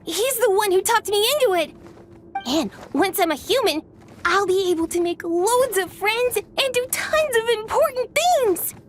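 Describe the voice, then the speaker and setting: A woman's voice: extremely high pitch, lively intonation, unhurried, faint music behind. A woman voicing a small, innocent boy dragon in a video game, earnest, childlike and a little shy. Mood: nervous, hopeful. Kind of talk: video game character acting. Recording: faint background noise.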